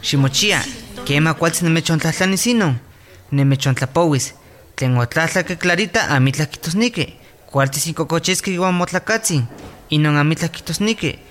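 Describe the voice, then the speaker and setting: A man's voice: a little high, moderate pace, a bit bright, close to an everyday voice. An actor playing a patient male doctor advising village mothers in a scripted radio drama. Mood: patient, instructive.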